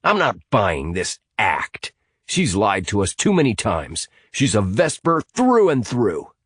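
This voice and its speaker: A man's voice: on the low side, huge pitch swings, slow, breathy edge, no echo, clean studio sound, exaggerated voice. A man voicing a big, suspicious, confrontational teenage boy in an adventure audiobook, blunt and scoffing. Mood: hostile, scoffing.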